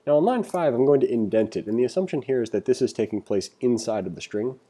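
A man's voice: medium pitch, lively intonation, fast talker, mellow, slightly rough. A man giving a casual coding tutorial, informally thinking aloud as he reads and explains. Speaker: programming instructor.

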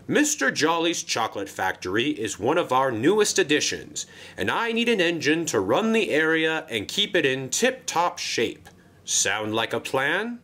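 A fussy, pompous railway controller, voiced by a man, with a high, bright, forceful tone. His speech rises and falls all over the place as he bustles along in a brisk, formal manner for a storybook tale. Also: heavily acted.

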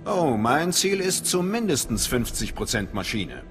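An actor playing a cool, cocky and menacing male dark-side acolyte in a video game, clipped and self-assured. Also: mid-range voice, sing-song, a little slow, faint music behind. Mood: cool, confident. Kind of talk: video game voice acting in German.

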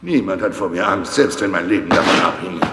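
An actor playing a kindly, bumbling old giant turtle in a children's audio drama, rambling and good-natured. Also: male voice, sits low, expressive, a little fast. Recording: echoey cave reverb, noisy cassette.

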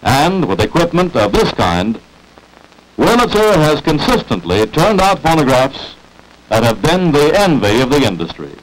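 An old 1940s film soundtrack carries an American man narrating an industrial promotional film. His voice sits a little high and moves with lively rises and falls. He phrases his script deliberately and emphatically, like a salesman making his case. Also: a little hiss or hum, rough recording.

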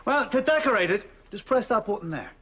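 Chirpy young delivery guy, high voice, sales patter, noisy sitcom studio. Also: lively intonation, brisk. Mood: eager, chirpy. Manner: salesman-like, eager. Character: cheerful young delivery man demonstrating a gadget.

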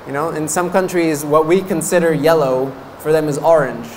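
A man's voice: a little high, fairly even intonation, moderate pace, a little hiss or hum, low-quality recording. A man in his thirties, a Buddhist monk whose first language is French, giving a chatty dharma talk at a meditation course, laughing often between fillers. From French-speaking Canada. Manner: gestures with his hands while talking. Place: meditation hall, seated before a banner. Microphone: stand mic in front of him.

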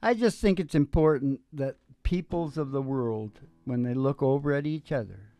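A man's voice: mid-range voice, normal speed, dark tone, no room sound. An older man, a musician hosting a show, chats casually and meanders in a folksy way into a close stage microphone. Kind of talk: rambling casual stage banter. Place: small curtained performance stage indoors. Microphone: stage microphone on a stand, close up. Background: acoustic guitar.

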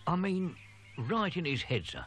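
A man's voice: fairly high pitch, big pitch swings, unhurried, a bit bright, pitched above the performer's own voice. A male narrator voicing an old male college servant who is worried, deferential, and speaks with working-class grammar. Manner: working-class speech, humble, says sir often.

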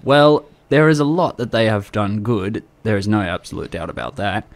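A man with an Australian accent co-hosting a radio chat show, giving his take on a film in measured, slightly formal phrasing. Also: on the low side, expressive, gravelly. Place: community radio studio.

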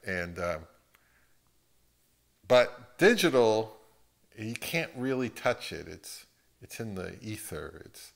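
A man's voice: lowish voice, vocal fry, fairly bright tone. Middle-aged man, hesitant and rambling. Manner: hesitant, rambling, self-deprecating. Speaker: video host. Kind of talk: casual unscripted talk to camera. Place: open living room at home, indoors.

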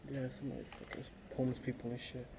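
A man's voice: medium pitch, steady tone, quick pace, gravelly. A man exploring a derelict building with a handheld camera, muttering casual, profane remarks as he goes. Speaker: urban explorer filming. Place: inside a derelict industrial building. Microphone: handheld or body-worn action camera. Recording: muffled, very noisy action-camera audio.

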